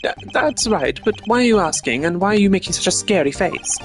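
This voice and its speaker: An earnest male ambassador in a video game, put on by a streamer. He is courteous and easily flustered, speaking politely in a raised pitch with music behind. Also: animated, a little fast, natural voice. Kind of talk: video game character voice-over.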